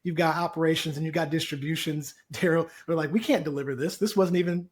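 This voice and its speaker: A man's voice: on the high side, quick pace. A man hosting a casual webinar, upbeat, loose and jokey, speaking over a video call. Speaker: webinar host, co-founder.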